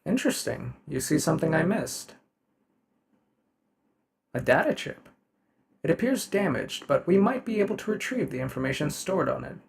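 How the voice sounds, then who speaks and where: A man voicing a polite, methodical robot investigator in an ASMR roleplay, speaking in a formal, measured, emotionally restrained way. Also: a little high, big pitch swings, vocal fry. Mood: static, analytical. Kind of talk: ASMR roleplay character acting.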